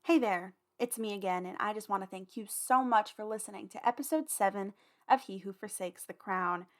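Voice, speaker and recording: A woman's voice: medium pitch, normal speed. A woman podcast host speaking casually and informally to her listeners with announcements. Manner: chatty, informal.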